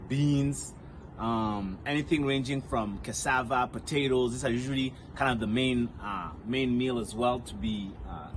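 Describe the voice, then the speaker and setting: A man's voice: mid-range voice, slight background noise. A man from Rwanda, whose first language is Kinyarwanda, giving a friendly self-recorded video message outdoors among banana trees, listing points with fillers as he goes. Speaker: storyteller.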